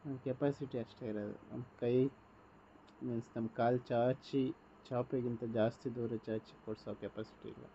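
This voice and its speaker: A man's voice: medium pitch, fairly even intonation, normal speed, warm tone. A man on a phone call, resigned and sarcastic in an argument. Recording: dull phone call with faint hiss.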